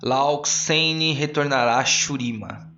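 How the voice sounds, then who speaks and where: A man playing a solemn, measured master of an arcane council, formal and authoritative, in a tabletop audio drama. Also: medium pitch, steady tone, sharp tone, distant mic, highs cut off, faint music behind. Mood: solemn, firm.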